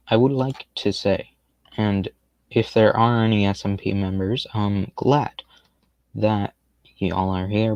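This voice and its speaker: A man casually rambling through gameplay commentary on a stream, with a fairly monotone, laid-back and self-deprecating way of talking. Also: fairly low pitch, no room sound. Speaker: game streamer.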